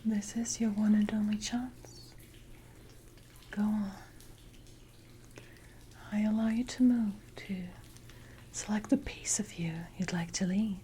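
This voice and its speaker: A woman voicing a haughty, seductive and menacing siren in a breathy ASMR roleplay whisper, with deliberate, condescending delivery. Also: mid-range voice, fairly even intonation, warm tone, close to an everyday voice. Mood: solemn, commanding. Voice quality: breathy, mostly unvoiced whisper. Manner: slow, deliberate, condescending, archaic phrasing. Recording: noisy ambience with water drips.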